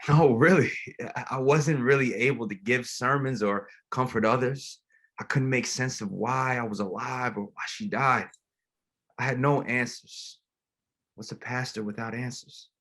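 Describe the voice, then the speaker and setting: An actor playing a gentle, grieving pastor in a dramatic table read, speaking plainly and haltingly. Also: male voice, mid-range voice, steady tone. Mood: reflective. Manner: reflective, plain-spoken, halting. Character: grieving, gentle, reflective male pastor, widowed father.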